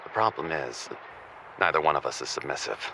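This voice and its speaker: A man playing a stubborn, prim intellectual caller on a radio comedy show, precise and deadpan in his indignation. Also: a bass voice, brisk, vocal fry, a little hiss or hum, heavily acted, poor audio. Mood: measured, frustrated. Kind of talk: radio call-in comedy drama.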